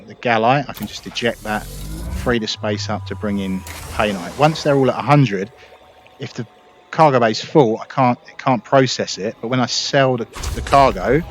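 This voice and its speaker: A man calmly explaining what he is doing as he plays, thinking aloud in a casual gameplay walkthrough. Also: lowish voice, normal speed. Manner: calm, explanatory, thinking aloud while playing. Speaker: gameplay video host. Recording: voice over loud game engine noise. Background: loud spaceship game sounds.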